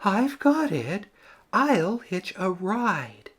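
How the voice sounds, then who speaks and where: A man plays a tiny female sea snail in a storybook. The voice is pitched far up and stretched out slowly. It is plucky, earnest and eager for adventure. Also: very animated, fairly bright tone, husky, full character voice. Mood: excited. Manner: earnest, drawn-out exclamations.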